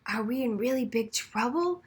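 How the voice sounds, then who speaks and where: A woman's voice: fairly high pitch, expressive, moderate pace, breathy edge. A woman voicing an anxious, timid young girl student in a small voice, as in a read-aloud storybook. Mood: small, worried.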